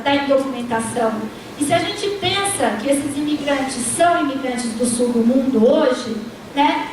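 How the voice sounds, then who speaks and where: A woman lecturer giving an academic panel talk, tagging her sentences with a questioning "né" and restarting words mid-way. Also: sits high. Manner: frequent tag "né", restarts mid-word. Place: university lecture room. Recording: distant, echoey lecture hall with noise.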